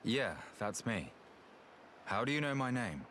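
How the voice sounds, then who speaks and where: An actor playing a calm, reasonable and slightly weary researcher in a video game, speaking in an unhurried, thoughtful way. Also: male voice, medium pitch, a little hiss or hum. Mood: relaxed. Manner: unhurried, thoughtful, plain-spoken. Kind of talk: video game cutscene acting. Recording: game music behind the voice.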